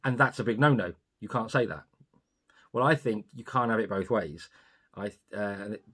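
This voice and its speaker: A man's voice: fairly low pitch, slightly rough. Blunt, opinionated man in podcast banter. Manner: blunt, opinionated, swears freely. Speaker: podcast co-host. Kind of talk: casual film review chat.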